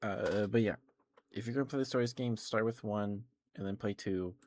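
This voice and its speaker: A man doing casual ASMR livestream chat into a close microphone, musing in a hesitant, unhurried way. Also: on the low side, a little mellow, a little hiss or hum.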